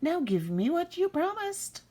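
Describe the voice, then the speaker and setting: A woman voicing a tiny, scheming storybook manikin, theatrical and sing-song, gleeful and quick to rage. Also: extremely high pitch, big pitch swings, warm tone, pitched above the performer's own voice, heavily acted. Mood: demanding.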